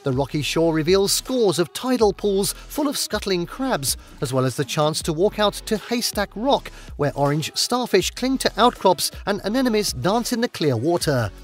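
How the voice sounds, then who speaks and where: A man's voice: high-pitched, big pitch swings, moderate pace, hardly any pauses, soft background music. A man giving scripted travel narration in an upbeat countdown delivery.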